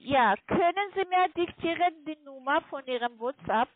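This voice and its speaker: A woman with a foreign accent speaking halting, non-native German on the phone, insistently repeating instructions as a call centre agent. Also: high-pitched, animated, no echo. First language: not German. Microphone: telephone. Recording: tinny, narrow phone line.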